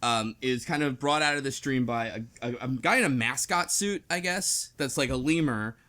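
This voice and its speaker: A man's voice: mid-range voice, moderate pace, sharp tone. A man hosting a casual book club podcast, talking in a rambling, self-correcting way. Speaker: podcast host.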